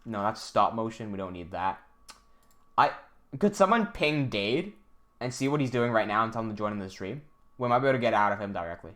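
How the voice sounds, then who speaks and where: A man's voice: fairly low pitch, expressive, a little fast, fairly bright tone. A young man from Hong Kong hosting a casual livestream, thinking aloud and reading out chat messages, with frequent restarts.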